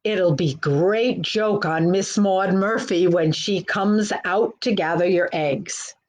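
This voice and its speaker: A mischievous leprechaun ringleader from a children's storybook, read by a woman. He is playful, cajoling and a bit bossy, and he speaks at an unhurried pace. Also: fairly low pitch, darkish tone. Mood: coaxing.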